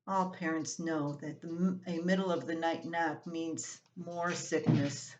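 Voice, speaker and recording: An older woman reading a scripted, humorous family column aloud as its writer, in an expressive storytelling manner. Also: low-pitched, measured pace, a little hiss or hum, rough recording. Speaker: columnist reading own writing.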